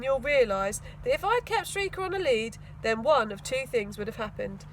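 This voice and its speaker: A woman reading a children's story aloud in an expressive, emphatic storytelling manner. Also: sits high, a little hiss or hum. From England. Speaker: school teaching assistant reading aloud to children. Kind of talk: storybook read-aloud narration.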